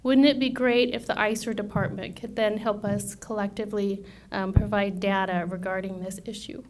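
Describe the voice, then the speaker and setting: Brief: woman, 30s, conference speaker, soft voice, persuasive and lively, podium mic in a meeting room. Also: mid-range voice, normal speed, slight background noise. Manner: energetic, persuasive, rhetorical questions. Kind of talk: conference presentation. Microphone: podium microphone.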